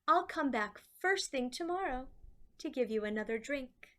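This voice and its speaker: A woman voicing a kindly young girl who loves flowers in a children's storybook, gentle and encouraging. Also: high-pitched, lively intonation, moderate pace. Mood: reassuring.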